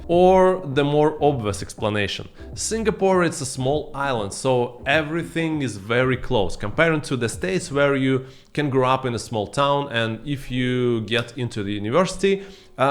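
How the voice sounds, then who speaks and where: A man in his thirties, not a native English speaker, talking to camera in a scripted vlog with playful asides, recorded on a boom-arm studio mic in a dim room at home. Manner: lively, playful asides to viewers. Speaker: vlogger.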